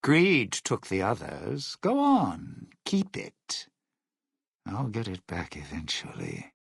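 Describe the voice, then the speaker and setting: An actor playing a sly, world-weary lounge singer in a video game, talking in wry showman's patter and dated slang with a gravelly, husky voice. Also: fairly low pitch, huge pitch swings, slow pace, no room sound, clean studio sound, heavily acted. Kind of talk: video game companion voice lines.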